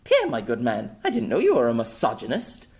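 A man voicing a playful, cheeky young woman in a storybook reading, with a high, cooing, wheedling manner. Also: wildly swooping pitch, fairly quick, a little mellow, exaggerated voice. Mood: teasing.

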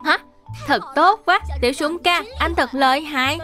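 A sweet little grandson in a children's cartoon. The voice is high and childlike, earnest and polite. Soft music plays behind it. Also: female voice, normal speed. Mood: delighted. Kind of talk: dubbed cartoon acting.

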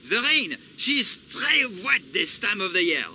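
A secretive, snooty courier with a thick French accent, made for a video game. His high voice swoops nervily over clear background ambience. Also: sing-song, measured pace, bright, forceful tone, a little hiss or hum, full character voice. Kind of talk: video game cutscene acting.

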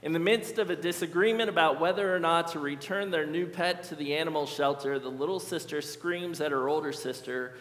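A middle-aged male pastor delivers a sermon in a measured, earnest voice that sits somewhat high for a man. He slows down to repeat key phrases for emphasis. His voice rings through a large sanctuary with a long, echoing reverb. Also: fairly bright tone, slight background noise. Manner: earnest, measured preaching with slow emphatic repetitions. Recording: echoey church hall.

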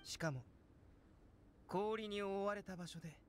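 A scholarly young male alchemist from an anime game. His voice is high for a man, calm and measured, gently probing, over faint ambience. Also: slight background noise. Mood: composed, inquiring. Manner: composed, measured, softly probing.

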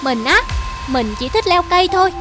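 A woman voicing a playful, careless young leopard cub in a children's cartoon, childlike and expressive. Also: extremely high pitch, moderate pace, noisy background. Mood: carefree, boastful.

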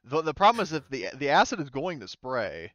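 A man's voice: a little high, big pitch swings, fairly quick, a bit bright, no echo, dull audio. A man running a tabletop game as game master, casually thinking aloud and sometimes swearing.

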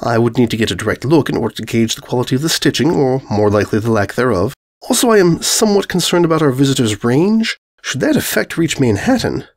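A man's voice: medium pitch, expressive, fast talker. A man voicing a refined, fussy female unicorn with prim, wordy speech in a comic story. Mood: fussy concern.

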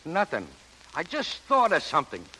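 An actor playing a slangy, exasperated show producer who wisecracks in 1930s radio style. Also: male voice, fairly high pitch, huge pitch swings, normal speed. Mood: worried. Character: harried, fast-talking, wisecracking male show producer. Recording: noisy 1930s radio broadcast.

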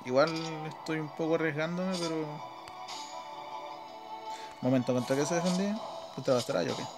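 A man's voice: on the high side, moderate pace. A man with a Chilean accent giving casual, off-the-cuff gameplay commentary, muttering as he thinks aloud. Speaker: gaming video host. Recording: game music behind the voice. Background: video game battle music.

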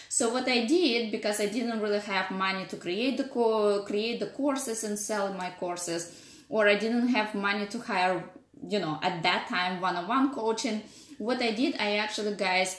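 A woman in her thirties with a strong Russian accent, a business coach talking casually on a live stream, greeting viewers and using many fillers. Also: mid-range voice. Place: home office, indoors. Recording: echoey room with faint noise.

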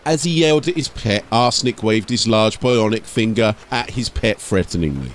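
A man's voice: fairly low pitch, animated, moderate pace, a little hiss or hum. A man narrating from a script as an audiobook storyteller and host.

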